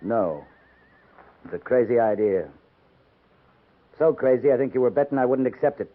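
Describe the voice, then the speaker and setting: An actor playing a stern, steady cavalry captain, measured and terse, in 1950s radio western style. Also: male voice, medium pitch, phone-quality sound, natural voice. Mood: firm, controlled. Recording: band-limited 1950s radio recording.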